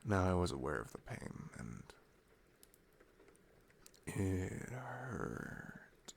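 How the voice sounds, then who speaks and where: A man playing an affectionate, reflective boyfriend in an intimate ASMR roleplay, speaking softly and tenderly with hesitant pauses. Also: sits low, very animated, gravelly, slight background noise. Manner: soft, hesitant, tender, pausing between phrases.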